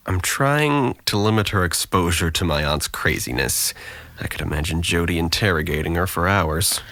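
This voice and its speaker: A man's voice: sits low, normal speed, fairly bright tone, no room sound. An actor playing a guarded, depressed young man who deflects with dry sarcasm in an audio drama. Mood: dry, confiding. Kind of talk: naturalistic audio drama dialogue.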